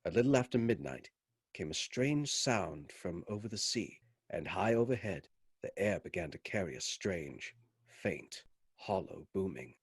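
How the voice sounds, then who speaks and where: A man plays a grave gentleman doctor as radio drama narrator. His voice is on the low side and his telling is formal and measured. The sound is close and dry, without room echo. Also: very animated, slightly rough, heavily acted. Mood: grave reporting. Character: Doctor Seward, sober gentleman doctor presenting evidence.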